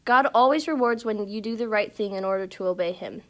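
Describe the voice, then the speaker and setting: A woman playing a gentle, principled mother in a puppet show, patiently teaching her son. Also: mid-range voice, a little fast, lightly acted. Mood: patient, instructive. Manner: patient, instructive. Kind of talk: church puppet show dialogue.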